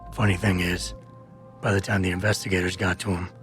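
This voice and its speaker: A brooding cybernetic security officer from a video game. His voice is low and gravelly, delivered deadpan in clipped, guarded phrases. Music plays faintly behind him. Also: brisk. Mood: grim, guarded.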